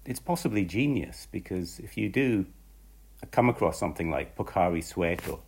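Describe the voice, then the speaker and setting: A man being interviewed as a magazine writer, answering reflectively and with amusement, pausing on ums. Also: low-pitched, animated, gravelly, darkish tone, husky.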